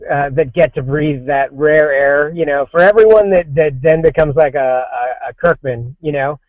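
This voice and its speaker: A man in his forties talks casually, with frequent fillers, false starts and self-corrections. His voice sits a little higher than most men's. The recording is dry and dull, with a lo-fi sound. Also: normal speed, no room sound. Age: 40s. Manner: rambling, many restarts and fillers. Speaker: comics creative director, guest. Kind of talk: casual podcast interview.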